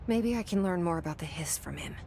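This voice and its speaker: The weary inner voice of a video game heroine, guarded and wry. She mutters dryly in a lowish register, with plenty of vocal fry. Faint noise sits behind her. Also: expressive, fairly quick. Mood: wry, wary. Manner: dry, muttered, sardonic. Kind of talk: internal monologue in a video game.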